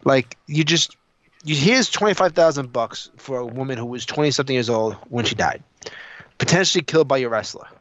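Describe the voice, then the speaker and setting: A man co-hosting a podcast, talking casually and opinionatedly, repeating himself as he retells things in an animated way. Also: mid-range voice, a little fast. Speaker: podcast co-host. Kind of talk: casual opinionated panel chat. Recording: dull lo-fi audio.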